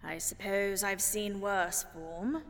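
A woman's voice: medium pitch, fairly even intonation, slow, big-room sound. A woman playing a genteel, haughty lady ghost in an audio drama, speaking in a dry, stately, patronizing way. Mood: dryly gracious.

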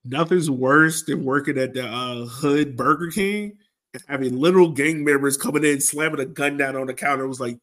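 A man's voice: mid-range voice, normal speed, fairly bright tone. An American man hosting a casual sports podcast, speaking colloquially and repeating phrases for emphasis. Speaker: podcast host.

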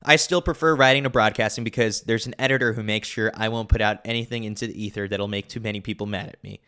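A man's voice: fairly low pitch, steady tone, fast, pushed and bright, smooth. Man reading a wry sports newsletter. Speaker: newsletter reader and host. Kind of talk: scripted narration of a sports newsletter.

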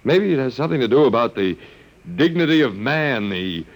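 An actor playing a sardonic, stubborn human prisoner with a dry wit in an old radio drama. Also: male voice, mid-range voice, animated, moderate pace, natural voice, rough recording. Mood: stubborn, defiant. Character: wry, resigned, stubborn human prisoner of aliens. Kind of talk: 1950s radio drama acting.

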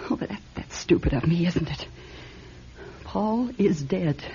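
An actress playing an anxious, sleepless wealthy widow in a radio drama, fretful and halting, often repeating her words. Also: on the low side, lively intonation, dark tone, heavily acted. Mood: edgy, fragile. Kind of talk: old-style radio drama acting. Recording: dull, husky-sounding radio recording with music.

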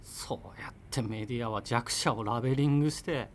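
A man's voice: medium pitch, brisk, slight background noise, natural voice. An actor playing an evasive, argumentative boyfriend in his thirties in naturalistic radio drama dialogue, rambling as he justifies himself. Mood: defensive. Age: 30s. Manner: defensive, rambling self-justification. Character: evasive, argumentative thirty-year-old freelancer boyfriend living with parents.

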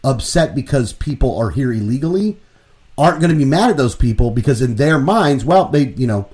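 A man co-hosting a political talk radio show, explaining his views in a casual, opinionated way and working through numbers out loud. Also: medium pitch, expressive, fairly quick.

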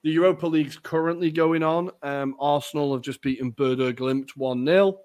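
A young man hosting a casual football podcast, chatty and jokey with lots of ums, his voice a little raspy. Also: on the high side, fairly even intonation, moderate pace, darkish tone. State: slightly raspy voice. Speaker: podcast host.

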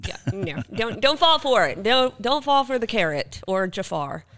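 A woman's voice: a little high, very animated, normal speed, a bit bright. A woman co-hosting a podcast, talking in a casual, informal way peppered with filler words. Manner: informal, uses lots of "like". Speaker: podcast host. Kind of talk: casual conversational podcast chat.

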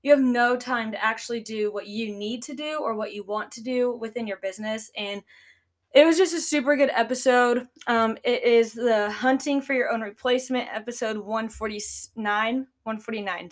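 A woman's voice: a little high, fairly even intonation, moderate pace. Chatty young woman, a bit congested. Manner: chatty, lots of "like" and "um" fillers. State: congested, nasal. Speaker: podcast host, business owner. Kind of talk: casual solo podcast talk.

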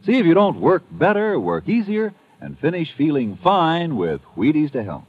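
A male pitchman from vintage radio. He sells emphatically, with hugely swooping intonation and a slightly husky tone. The old recording sounds muffled. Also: sing-song, moderate pace. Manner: emphatic, persuasive. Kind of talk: 1950s radio advertising pitch.

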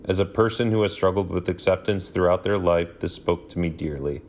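A man from Michigan reading a sincere, measured listener message to a podcast. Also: sits low, darkish tone, a little hiss or hum.

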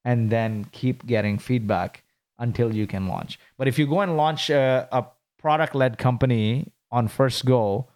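A man from Kuwait, a startup founder, answering interview questions in a brisk, businesslike way with frequent rhetorical questions. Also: mid-range voice, a little mellow.

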